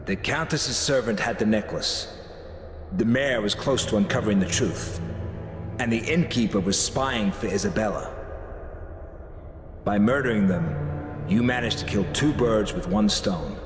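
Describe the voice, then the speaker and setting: A man's voice: lowish voice, natural voice. Stern, devout inquisitor played by a man, echoing hall. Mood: probing. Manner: measured, formal, accusing. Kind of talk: dramatic video game acting. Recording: echoey hall reverb, music behind.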